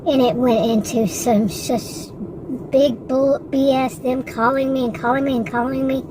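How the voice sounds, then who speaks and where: A woman's voice: high voice, fairly even intonation, a little slow, background noise. A woman in her sixties in a rambling, frustrated casual monologue, with many restarts and asides. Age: 60s. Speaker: vlogger.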